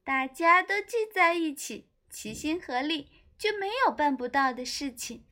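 A woman's voice: sits high, animated, a little slow, bright, forceful tone, voice pushed up, heavily acted. A woman voicing a kind, encouraging friend in a children's fairy tale, speaking earnestly.

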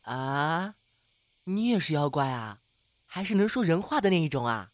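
A young performer playing a timid, excitable half-demon who is hesitant and easily flustered, in an audio drama. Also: medium pitch, moderate pace, no echo, lightly acted. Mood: excited.

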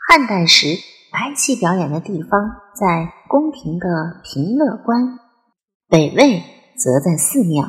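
A woman playing a lively, playful and chatty nineteen-year-old girl in an audio drama. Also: on the low side. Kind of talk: Chinese audio drama acting.

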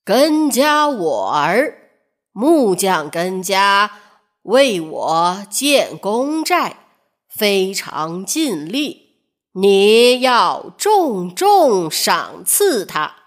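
A woman voicing an old male chieftain's solemn letter in a fairy tale, recited loudly and ceremoniously. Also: mid-range voice, very animated, drawn-out words, voice pushed down, exaggerated voice.

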